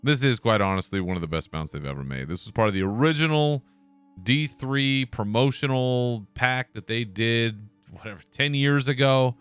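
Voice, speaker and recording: A man's voice: mid-range voice, normal speed, a bit bright, soft background music. A man hosting a podcast alone, talking in a laid-back, casual way and swearing now and then. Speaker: podcast host. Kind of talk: solo casual commentary.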